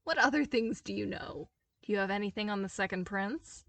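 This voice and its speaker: A woman's voice: medium pitch, fairly quick, a bit bright, recorded from a distance, lightly acted. A woman voicing a haughty young male crown prince in a storybook reading, curt and imperious. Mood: eager.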